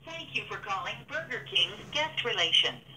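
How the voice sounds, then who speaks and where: A woman's voice: mid-range voice, sing-song, moderate pace, distant mic. A woman reading a scripted automated phone greeting, heard through a telephone speaker in a room. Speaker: automated phone line announcer. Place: played over a phone in a room. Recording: noisy, roomy phone speaker, off-mic.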